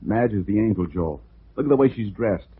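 A man's voice: fairly low pitch, lively intonation, fairly quick, slightly rough. A man playing a wry, slangy private detective in a 1940s radio drama, acting and narrating in the first person. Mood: urgent pleading. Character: wisecracking tough male private detective. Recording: tinny, band-limited 1940s radio recording with music.

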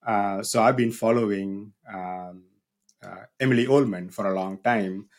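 A man in his thirties speaks with a South Indian accent, his voice sitting somewhat low. He tells his story in an unhurried, easygoing way, with a touch of humor, as a guest on a podcast. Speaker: guest, business owner and university researcher. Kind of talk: casual podcast interview.

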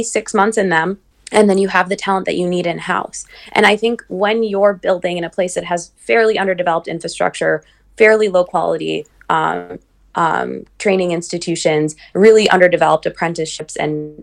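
A woman's voice: lowish voice, gravelly. A woman who grew up between the United States and Nigeria, founder of a venture capital firm, answering interview questions thoughtfully, with frequent fillers and restarts.

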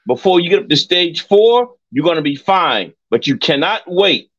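A man's voice: a little high, expressive, normal speed, a bit bright, lo-fi sound. A man co-hosting a talk show, earnestly repeating his warnings to make a point. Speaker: co-host. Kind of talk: casual talk.

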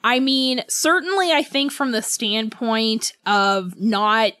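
A woman co-hosting a casual book discussion podcast, thinking aloud in a halting, conversational way. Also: on the high side, sharp tone, no room sound, studio quality. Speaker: podcast co-host.

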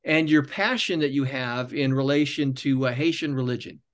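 A man's voice: medium pitch, moderate pace. A man hosting a podcast interview, setting up his questions in a long, rambling, self-correcting way. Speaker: podcast host.

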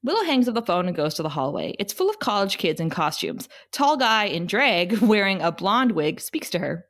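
A woman's voice: mid-range voice, big pitch swings, moderate pace, fairly bright tone. A woman narrator reading the stage directions of a script at an informal table read.

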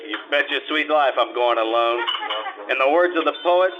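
An actor playing a hard-boiled private detective who narrates and trades dry wisecracks in a 1950s-style radio drama. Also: male voice, medium pitch, normal speed, narrow, tinny audio, noisy background, close to an everyday voice. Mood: wry. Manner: dry wisecracks, laconic first-person narration.

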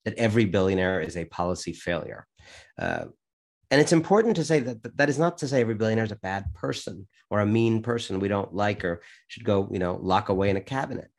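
A man's voice: fairly low pitch, expressive, fast. A man giving his opinion conversationally as an interviewee.

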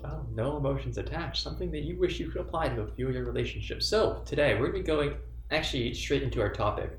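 A man's voice: medium pitch, animated, fast. A young man hosting a casual college podcast, teasing and joking with his guests. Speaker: podcast host. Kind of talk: casual chat. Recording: roomy echo, faint music.

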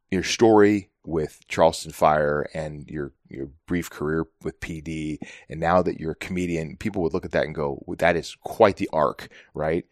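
A man hosting a podcast, asking questions in a relaxed, chummy way and laughing easily. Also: very deep voice, dry recording, studio quality. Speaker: podcast host. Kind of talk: casual interview chat.

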